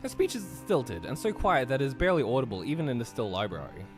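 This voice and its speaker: A man chatting casually with friends while playing a game and reading its text aloud, animated and exclamatory. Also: mid-range voice, fast talker, over music. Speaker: host of a group visual novel playthrough. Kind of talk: casual group gaming chat with reading aloud.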